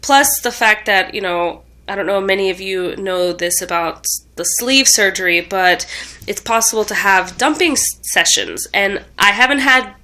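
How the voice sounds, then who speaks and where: A woman's voice: mid-range voice, moderate pace, sharp tone. A young woman with a stuffed-up voice from a cold, rambling through a casual vlog update with lots of ums and self-corrections. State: tired. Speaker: vlogger.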